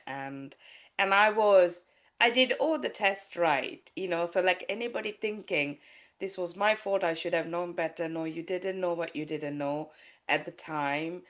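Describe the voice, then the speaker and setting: A low-pitched woman from Sri Lanka speaks as a guest on a podcast. She talks candidly and haltingly, often restarting her sentences and stopping on fillers as she works through a personal story. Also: fairly bright tone. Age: adult with a child. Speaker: life coach, guest.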